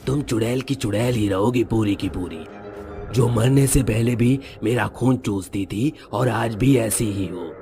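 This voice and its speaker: A man's voice: lowish voice, a little slow, music in the background. A man playing a hapless, henpecked ghost in a cartoon, grumbling in an exasperated way. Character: hapless, henpecked male ghost train driver in love. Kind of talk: animated horror-comedy story acting.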